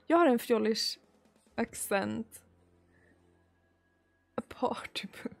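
A woman with a Swedish accent chats with her viewers in a slow, unhurried way, often breaking into giggles. Her voice sits a little high and has a gravelly edge. She speaks into a desk mic in a small room, with faint music playing behind her. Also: lively intonation. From Sweden. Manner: giggly, chatty, drawn-out pauses. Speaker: streamer. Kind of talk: casual chat reading viewer messages. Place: small room at home streaming setup. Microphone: desk mic on boom arm.

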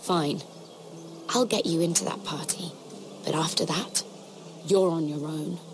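A woman's voice: low-pitched, a little slow, slight background noise. A woman playing a guarded young noblewoman in a dramatic video game, playful but easily wary. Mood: wary, reluctant.

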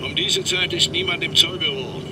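Solicitous, practical male escort, higher voice, crime radio drama, loud vehicle noise behind. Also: wildly swooping pitch, normal speed, recorded from a distance, full character voice. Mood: practical, concerned. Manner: polite, practical. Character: brisk, solicitous male escort helping freed prisoners.

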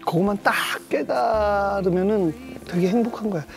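A man's voice: high-pitched, moderate pace, creaky, soft background music. A man in his fifties, a guest in a casual chat over drinks, telling stories with big gestures in a small indoor bar set. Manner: animated storyteller, gestures broadly. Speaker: guest entertainer.

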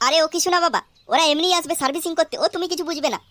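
Woman as squeaky fast-talking cheeky cartoon boy. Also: fairly bright tone. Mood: evasive. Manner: boastful, teasing. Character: cheeky boastful boy, Futo, showing off. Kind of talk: Bengali comedy cartoon voice acting.

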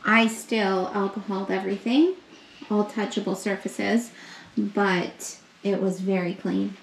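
A young woman giving an upbeat, slow walkthrough of a hotel suite, pointing things out as she goes, recorded on a handheld camera. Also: medium pitch, steady tone, vocal fry, a little hiss or hum. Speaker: vlogger giving a room tour. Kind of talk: casual walkthrough narration. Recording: echoey rooms with faint ambience.

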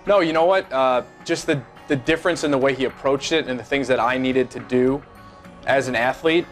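Young man recalling, halting with fillers. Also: medium pitch, soft background music. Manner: halting, many fillers and restarts. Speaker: former pro baseball player. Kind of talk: seated interview, casual recollection. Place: high-rise office by a big window.

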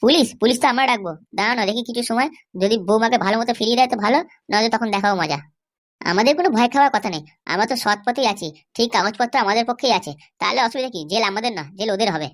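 A woman's voice: on the high side, racing, lightly acted. A woman voicing a boastful, defiant schemer in a comedy cartoon, full of confident threats. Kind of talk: exaggerated cartoon dialogue.

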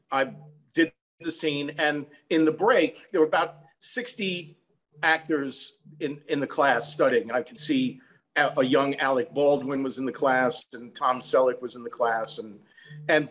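A man's voice: fairly high pitch, a bit bright, low-quality recording. A man in his sixties with an American accent, telling an anecdote matter-of-factly as an interview guest over a video call. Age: 60s. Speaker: songwriter guest. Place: room at home.

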